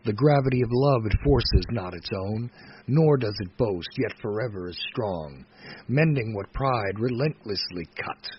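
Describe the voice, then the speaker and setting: A man reciting poetry dramatically, in a solemn, measured manner that moves between hushed phrase endings and emphatic phrases. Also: lowish voice, expressive. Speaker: poetry reader. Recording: dull lo-fi recording with faint noise.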